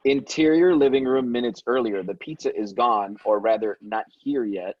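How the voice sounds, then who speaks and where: Husky man narrating script directions. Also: normal speed, darkish tone, dry recording. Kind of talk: reading screenplay directions aloud. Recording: dull, dry, low-fidelity audio.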